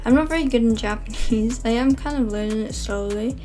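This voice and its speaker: Young woman, fairly high voice, loose rambling vlog host chatter with fillers. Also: fairly quick, mellow, soft background music. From Wales. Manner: rambling, many fillers and self-corrections. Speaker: channel host. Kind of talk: casual chatty vlog narration.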